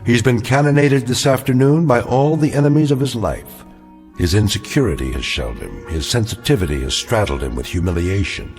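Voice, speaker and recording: An actor as a grave, ominous storyteller, narrating a radio drama in a deliberate, portentous manner. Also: male voice, medium pitch, animated, a little mellow, soft background music, close to an everyday voice.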